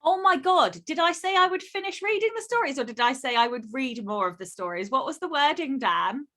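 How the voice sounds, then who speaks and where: Bright-voiced animated woman podcast co-host. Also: high-pitched, brisk. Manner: animated, laughs often, rambling with fillers. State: voice lower than usual this week. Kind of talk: casual chat.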